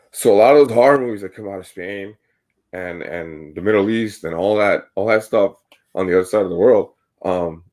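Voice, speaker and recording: A man with a very low voice chatting on a podcast. He rambles loosely, leans on "like" and "right?", and keeps restarting his sentences. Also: darkish tone. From United States. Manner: rambling, filler-heavy, says "like" and "right?" often. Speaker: podcast co-host. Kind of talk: casual podcast chat.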